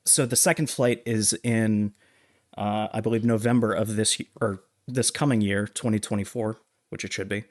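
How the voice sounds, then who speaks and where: Man, low voice, podcast co-host, casual movie talk, explanatory, measured and halting.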